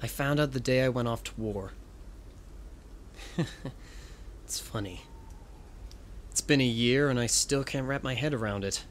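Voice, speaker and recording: A man's voice: mid-range voice, a little slow, long pauses, a little hiss or hum, lightly acted. A man playing a young, good-natured pony guard captain in an audio drama, boyish and prone to stammering. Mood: rueful. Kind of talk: fan audio drama acting.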